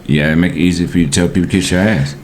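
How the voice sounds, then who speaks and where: A man's voice: low-pitched, fairly even intonation, rapid-fire, darkish tone, a little hiss or hum. An American man in his forties chatting casually as a podcast guest, blunt, slangy and profane. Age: 40s.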